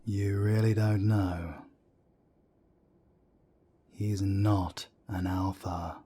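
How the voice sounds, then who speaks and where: A man playing a wry, world-weary werewolf council elder in an audio roleplay drama, speaking in a measured, deliberate way. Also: steady tone, warm tone, heavily acted. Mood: wry, amused. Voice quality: deep, husky.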